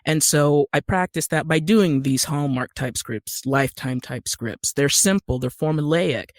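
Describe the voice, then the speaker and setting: An American man speaking conversationally as an interview guest, telling stories in an animated way. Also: a little high, measured pace, no echo. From Utah, United States. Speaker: podcast guest, actor and screenwriter.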